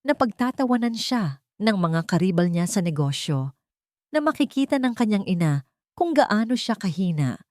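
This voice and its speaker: A woman with a Filipino accent narrating a dramatic scripted story in measured, dramatic phrasing. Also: medium pitch, big pitch swings, darkish tone, dry recording, studio quality.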